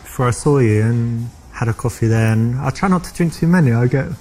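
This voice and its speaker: A man with a softened London English accent, playing a chatty, relaxed character in a scripted dating conversation. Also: mid-range voice, a little fast, slight background noise. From England. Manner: chatty, relaxed, with filler restarts. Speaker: player character in a dating game.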